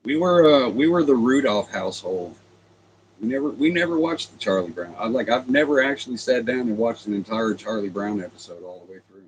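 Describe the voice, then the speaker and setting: A man's voice: medium pitch, animated, fairly quick, a little hiss or hum, rough recording. A man in his forties co-hosting a casual livestream, trading crude joking banter. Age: 40s. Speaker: livestream co-host.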